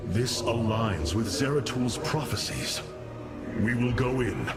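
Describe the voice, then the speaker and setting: A man playing a noble, resolute alien warrior leader in a science fiction game, speaking gravely and formally with measured delivery. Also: mid-range voice, expressive, loud music behind the voice, close to an everyday voice.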